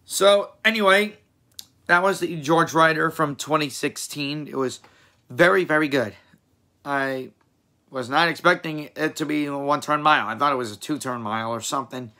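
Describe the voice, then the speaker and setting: A hoarse young man hosting a reaction video, rambling and correcting himself as he talks in a small room. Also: fairly high pitch, moderate pace, pushed and bright. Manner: rambling, self-correcting, chatty. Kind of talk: casual reaction commentary.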